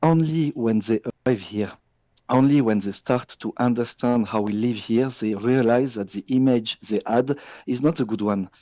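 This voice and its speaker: A man from France with a French accent, answering a radio business interview in measured English with frequent hesitations and restarts. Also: mid-range voice, warm tone, dry recording, highs cut off. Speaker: business guest.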